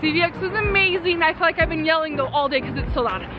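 A woman in her thirties vlogging with her family, upbeat and playful, her voice going hoarse. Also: high-pitched, quick pace, lo-fi sound. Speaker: vlogger mom. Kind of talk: casual family vlog. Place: convention hall and city street. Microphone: handheld camera at arm's length. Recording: lively crowd and music behind.

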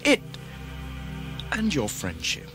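An actor playing a stubborn, wounded, loyal travelling companion in an audio drama, emotional and defiant. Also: male voice, medium pitch, huge pitch swings, slow, over music. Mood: pleading, defiant.

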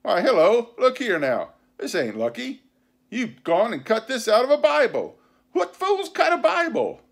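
A man reading a storybook, voicing a sly, scornful pirate captain who speechifies in rough nautical slang with sarcasm and bluster. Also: very high-pitched, wildly swooping pitch, unhurried, voice pushed up, heavily acted. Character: sly, scornful, commanding male pirate captain and sea cook.

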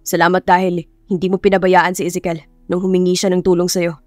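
A reserved young father, as a woman narrator voices him, speaking in short, plain lines. His voice sits a touch lower than hers, in a close, dry take. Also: flat delivery, a little fast, darkish tone, no echo, soft background music, natural voice. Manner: plain, curt delivery.

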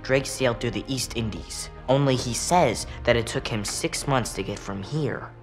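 A man acts out a teenage American street boy in a cinematic video game. He sounds guarded and cocky, with a medium-pitched voice. His delivery is blunt, bookish and earnest. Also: normal speed, soft background music, lightly acted. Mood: earnest, challenging. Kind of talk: naturalistic video game acting.

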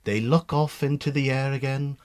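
A man narrates a radio drama in a Northern Irish accent. His voice sits at a middle pitch, and he reads scripted scene descriptions as a storyteller. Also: steady tone, normal speed, darkish tone.

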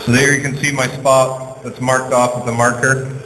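A man working as an HVAC technician, casually walking through a hands-on test with a relaxed, plain-spoken manner and frequent ums. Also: mid-range voice, normal speed. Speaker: HVAC technician and channel host. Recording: reverberant space with faint noise.

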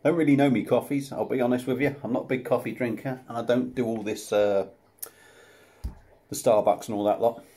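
Chatty man, mellow voice. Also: mid-range voice, fairly even intonation, brisk. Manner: chatty, self-deprecating, plain-spoken. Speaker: beer reviewer. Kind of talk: casual beer review to camera. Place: small room at home, indoors. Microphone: camera close up at desk level.

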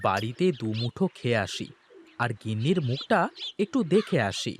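A man voicing a humble, sentimental village boatman with colloquial rural banter in an animated folk tale. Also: medium pitch, normal speed, a little hiss or hum, pitched below the performer's own voice, lightly acted. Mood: cheerful, busy. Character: cheerful humble village boatman, doting husband.

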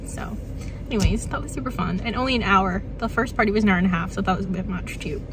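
A young woman with a hoarse voice from a cold, chatting tiredly to her phone in a parked car after a gig. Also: mid-range voice, background noise. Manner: chatty, tired, quick asides with small laughs. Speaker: vlogger and working actor. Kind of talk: casual vlog chat to camera. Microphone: phone held at arm's length.

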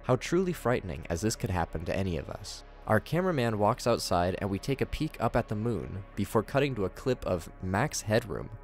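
A young man reading his scripted commentary on a horror video series, in a measured way. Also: fairly low pitch, big pitch swings, faint music behind. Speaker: video reviewer.

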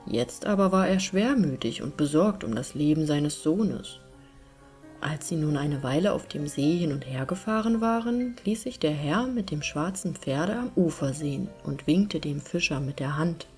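A woman's voice: deep voice, animated, moderate pace. A woman reading a fairy tale aloud as scripted audiobook narration. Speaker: storyteller, audiobook reader. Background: soft music.

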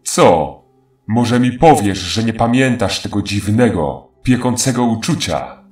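An ancient faceless demon speaks in a lowish voice with heavy echo. He is mocking, menacing and theatrical, as in a horror audio drama, drawing out his words over faint music. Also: lively intonation, a little slow, darkish tone. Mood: taunting.

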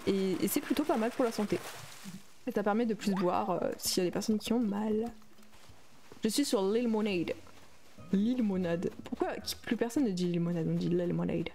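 A woman's voice: medium pitch, normal speed, gravelly, mellow, slight background noise. A young adult woman streaming a game, chatting casually and reading and answering her viewers' messages aloud. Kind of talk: casual livestream chat while playing a game.

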